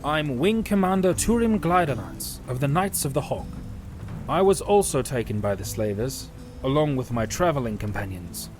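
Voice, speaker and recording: A man's voice: medium pitch, very animated, normal speed, pitched above the performer's own voice, close to an everyday voice. A man voicing a small, earnest young knight commander with courteous, formal manners in a fantasy audiobook. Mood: courteous, proud. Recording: loud music under the voice.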